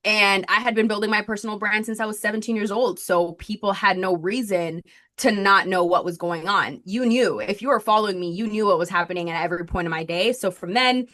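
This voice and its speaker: A woman's voice: medium pitch, a little fast, fairly bright tone. A woman in her thirties from Colombia, a podcast guest telling her story in chatty talk full of fillers and likes. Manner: chatty, talks nonstop, many fillers and likes. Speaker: podcast guest, marketing strategist.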